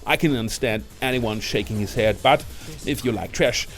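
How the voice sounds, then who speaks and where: A male reviewer narrates a scripted ranking of movies. His voice sits at an average pitch for a man. Music runs behind the narration. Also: moderate pace, a bit bright. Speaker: video presenter and film reviewer.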